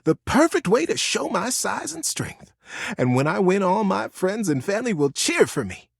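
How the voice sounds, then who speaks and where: A man voicing a tiny, earnest young female sea creature in a storybook, pleading and excitable. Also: high voice, normal speed, creaky, breathy edge, no room sound, studio quality, voice pushed up, full character voice. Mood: dreamy, confident. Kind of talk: storybook character voice.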